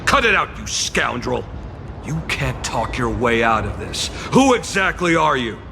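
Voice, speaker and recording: A man's voice: mid-range voice, very animated, measured pace, creaky, noisy background. A man voicing a courteous, formal male guide who is easily flustered in a video game. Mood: indignant, accusing.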